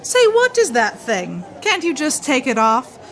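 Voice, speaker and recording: Lively, gossipy lady theatregoer. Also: sits high, a little slow, faint music behind, heavily acted. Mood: admiring. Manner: declamatory, rhythmic rhymed storytelling. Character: gossipy, cautious theatre-going woman, loyal and dramatic. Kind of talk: radio theatre acting in verse.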